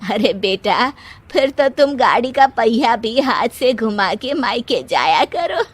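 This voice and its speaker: A woman voicing a good-humoured older mother-in-law in a cartoon story, indulgent and gently teasing, drawing out her words. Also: a little high, animated, measured pace, slightly rough.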